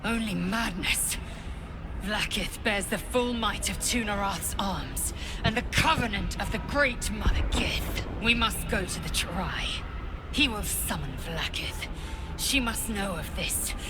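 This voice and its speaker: An actress playing a fierce, desperate alien warrior zealot in a fantasy video game, urgent and declamatory. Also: female voice, medium pitch, expressive, slow, music in the background.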